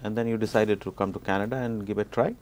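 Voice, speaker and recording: A man's voice: fairly low pitch, steady tone, fast talker, darkish tone. A man hosting a sports talk show, asking questions and patiently explaining the basics of the game. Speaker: show host. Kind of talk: interview hosting.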